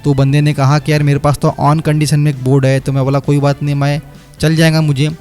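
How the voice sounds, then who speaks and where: Man, higher voice, technician talking viewers through a repair, music under. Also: level tone, brisk. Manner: explanatory, step by step, addresses viewers as friends. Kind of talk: voice-over repair tutorial. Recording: quiet background music under voice-over. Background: light background music.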